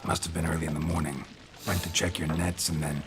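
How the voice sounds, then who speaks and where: An actor playing a world-weary monster hunter in a fantasy game, with clipped, dry, deadpan delivery. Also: male voice, very deep voice, brisk, noisy background. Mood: matter-of-fact. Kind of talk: fantasy video game dialogue.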